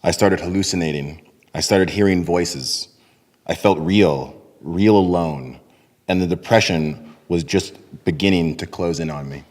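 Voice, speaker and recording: A man reads out a first-person testimony in a very deep voice. The sound reverberates through a hall. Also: measured pace, a little mellow. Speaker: reader in a readers theater.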